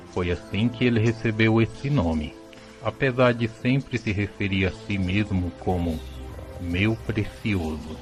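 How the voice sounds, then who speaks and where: Male narrator, Brazilian accent: fairly low voice, dramatic storybook phrasing, light music bed.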